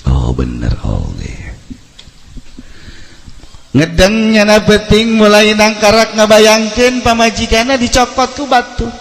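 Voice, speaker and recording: A man with a Sundanese accent preaching as a storyteller, humorous and theatrical, acting out dialogue and swinging between hushed and loud delivery. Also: sits high, big pitch swings, takes it slowly, creaky, long pauses. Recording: echoey hall with long reverb.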